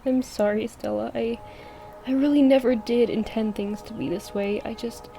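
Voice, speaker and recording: A woman's voice: a little high, fairly even intonation, moderate pace, gravelly, warm tone, soft background music. A woman acting a hurt, hesitant young woman monster hunter in an audio drama. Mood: stunned, regretful.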